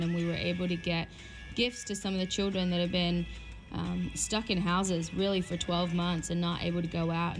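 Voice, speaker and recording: A young woman missionary giving an upbeat, chatty video update to her church. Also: on the low side. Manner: upbeat chatty report with fillers.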